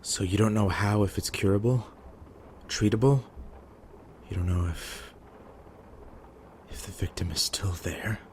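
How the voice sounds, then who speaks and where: A man playing a gruff, guarded survivor in an audio drama, blunt and terse. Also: on the low side, measured pace, long pauses, slight background noise, natural voice. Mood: probing. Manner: blunt, terse, foul-mouthed.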